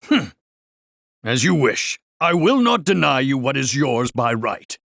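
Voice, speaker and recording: A man's voice: medium pitch, big pitch swings, a little slow, gravelly, a bit bright, full character voice. Bombastic echoing arena showman. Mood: grandiose, sentimental. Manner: pompous, grandiose, florid formal speech. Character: bombastic middle-aged male arena owner and showman announcer. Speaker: fight announcer and commentator. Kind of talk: theatrical video game voice acting, ringside commentary. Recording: echoing hall, distant reverberant voice.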